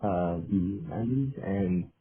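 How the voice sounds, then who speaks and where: A college senior in his twenties from the United States, introducing himself haltingly with many "um"s. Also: deep voice. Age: 20s. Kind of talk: interview self-introduction. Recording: tinny phone line with faint noise.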